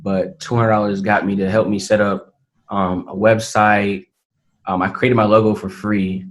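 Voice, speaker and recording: An eighteen-year-old man, answering interview questions in an earnest, measured way with many fillers. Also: on the low side, flat delivery. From Atlanta, Georgia, USA. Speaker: interview guest, young entrepreneur. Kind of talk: casual interview talk.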